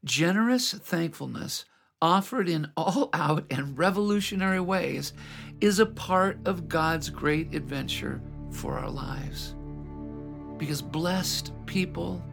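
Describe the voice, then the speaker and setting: Slow, gravelly-voiced man giving a devotional. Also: on the high side, a bit bright, faint music behind. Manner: earnest, reflective, unhurried. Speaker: host of a devotional program. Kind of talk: scripted devotional message.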